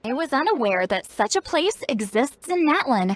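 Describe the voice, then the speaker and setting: High-voiced cocky young shaman. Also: big pitch swings, moderate pace, no echo. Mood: frustrated, brisk. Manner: self-assured, brusque, explanatory. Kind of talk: animated video game voice acting.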